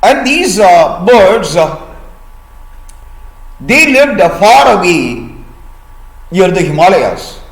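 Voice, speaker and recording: Slow, lilting Indian man telling a tale. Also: sits high, sing-song. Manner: deliberate, pausing, teacherly. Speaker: storyteller and host. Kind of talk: storytelling of a folk tale for listeners learning vocabulary. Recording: echoey room.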